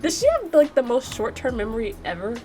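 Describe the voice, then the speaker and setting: A woman's voice: a little high, sing-song, a little fast. A woman hosting a gaming channel, casually reacting and narrating with playful, chatty asides. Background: music.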